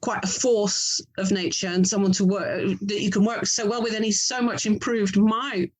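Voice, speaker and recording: A woman's voice: mid-range voice, fairly even intonation, normal speed. Thoughtful middle-aged English woman. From England. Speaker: writer and audio drama producer, interview guest. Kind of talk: casual interview talk.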